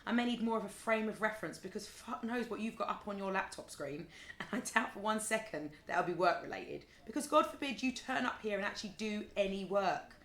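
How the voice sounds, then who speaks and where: An actress playing an exasperated, sarcastic, sweary office colleague in an audio drama, wordy and withering. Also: female voice, medium pitch, lively intonation, brisk, a bit bright, roomy sound. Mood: sardonic. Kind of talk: naturalistic audio drama acting.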